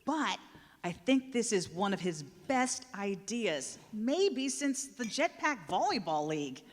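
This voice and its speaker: A woman's voice: medium pitch, big pitch swings, slow. A woman acting in a live radio play as an eager assistant to an eccentric boss, earnest and persuasive. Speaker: actor. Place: large hall, live stage show. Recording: reverberant hall, live stage recording.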